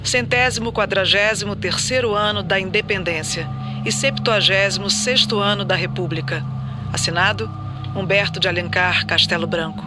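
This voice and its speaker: High-pitched actor as a formal decree announcer. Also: male voice, normal speed, over music, close to an everyday voice. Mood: formal, declamatory. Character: male official announcer reading a government decree. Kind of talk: dramatised reading of an official decree.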